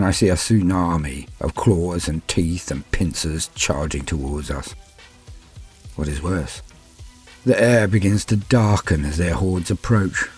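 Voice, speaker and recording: Male narrator as despairing, awestruck soldier in audio war drama. Low, theatrical, deliberate delivery over music. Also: gravelly, a little mellow, husky, full character voice. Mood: bleak despair. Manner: grave, theatrical, deliberate phrasing. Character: doomed human soldier, fearful, awestruck, despairing. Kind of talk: dramatic first-person scripted narration.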